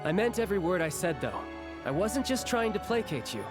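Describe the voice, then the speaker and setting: A man's voice: sits high, big pitch swings, brisk. A man voicing an easygoing young warrior turned diplomat in an anime game, breezy, sincere and reassuring. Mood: earnest, easygoing. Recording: music behind the voice.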